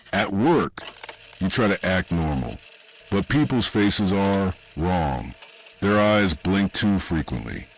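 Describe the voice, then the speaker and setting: A man reading scripted horror narration in an ominous, deliberate way, with short dramatic phrases and pauses. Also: deep voice, a little hiss or hum, low-quality recording. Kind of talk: scripted second-person analog horror narration.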